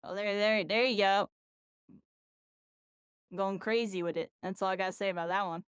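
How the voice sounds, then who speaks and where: A woman's voice: mid-range voice, fairly even intonation, normal speed, smooth, dry recording, clean studio sound. A tired woman streamer chatting casually and rambling, her voice worn out after a long session. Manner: rambling, full of "like" and "you know". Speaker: game streamer. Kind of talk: casual live-stream chat.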